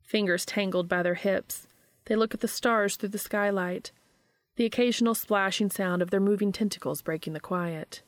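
A woman reading a story aloud as narrator, in an expressive scripted storytelling style. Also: medium pitch, moderate pace, no echo. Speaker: fan fiction reader and narrator.

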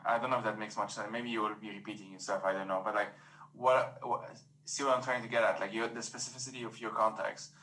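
A man's voice: fairly low pitch, steady tone, fast talker, pushed and bright, a little hiss or hum, low-quality recording. A man from Lebanon hosting an interview, asking rambling, hesitant questions. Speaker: podcast host. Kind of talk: casual interview talk.

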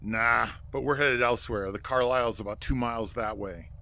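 An actor playing a cocky, cynical gangster with brash, slangy, teasing talk in a 1920s period radio drama. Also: male voice, mid-range voice, moderate pace, pushed and bright. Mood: brisk. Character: wisecracking short Chicago gangster, cynical and cocky. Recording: clear car noise behind the voice.

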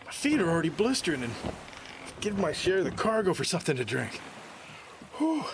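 An actor playing a worn-out sailor who mutters complaints to himself in a seafaring audio drama. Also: male voice, high voice, huge pitch swings, fairly quick, background noise, exaggerated voice. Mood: grumbling. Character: grumbling, worn-out sailor on lookout duty.

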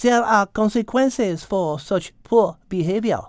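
Woman voicing a slow, poised French lady cat. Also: medium pitch, darkish tone, no echo, clean studio sound, voice pushed up, close to an everyday voice. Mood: stern, composed. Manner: measured, formal, slow and deliberate.

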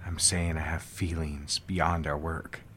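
An actor playing an earnest, formal businessman in an audio drama, a man with a husky voice. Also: a bass voice, flat delivery, takes it slowly, fairly bright tone, a little hiss or hum, exaggerated voice. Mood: earnest, pleading. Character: businessman courting his secretary, earnest, turning curt when rebuffed. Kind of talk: dramatic audio fiction phone dialogue.

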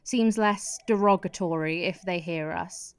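A woman's voice: on the low side, measured pace, smooth, no echo. A woman playing a cold, scheming political wife in a satirical radio play, curt, commanding and sardonic. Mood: dry, sardonic.

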